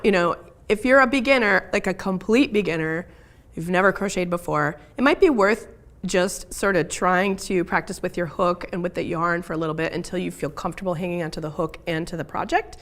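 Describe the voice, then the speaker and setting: A middle-aged woman leads a live crochet lesson, her voice hoarse and raspy from a recent illness. She sits a little low in pitch and talks in a loose, chatty way, full of ums and restarts. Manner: chatty, with frequent ums and self-corrections. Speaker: crochet instructor. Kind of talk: live craft tutorial.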